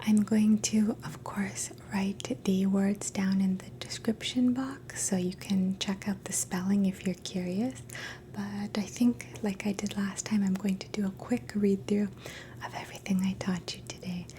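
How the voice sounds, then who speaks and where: A woman in a soft, gentle, mostly unvoiced whisper, slowly teaching phrases in a relaxing language lesson and repeating each one. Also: medium pitch, steady tone, a little hiss or hum. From Canada. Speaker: ASMR host teaching phrases.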